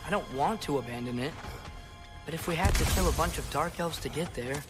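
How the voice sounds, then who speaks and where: A young-sounding man plays a compassionate, questioning American teenage boy in a fantasy game. His voice is fairly high and his delivery casual, earnest and slightly hesitant, over loud music. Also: fairly quick, natural voice. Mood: doubtful, questioning. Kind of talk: video game dramatic dialogue.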